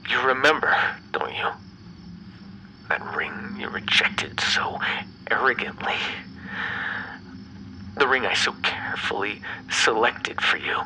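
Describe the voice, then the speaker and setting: A man playing an obsessive, bitter, menacing ex-lover in an ASMR roleplay, speaking in a breathy, brooding half-whisper. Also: medium pitch, lively intonation, bright, forceful tone, heavily acted, poor audio. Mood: resentful, menacing. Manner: slow, brooding, theatrically menacing. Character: obsessive, bitter yandere male vampire ex-lover, menacing captor.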